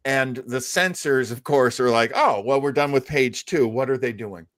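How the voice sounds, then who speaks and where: A man's voice: mid-range voice, moderate pace, a bit bright. A man hosting a casual live talk show, telling stories in a chatty, jokey, self-deprecating way. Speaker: host. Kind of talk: casual live show chat about old-time radio.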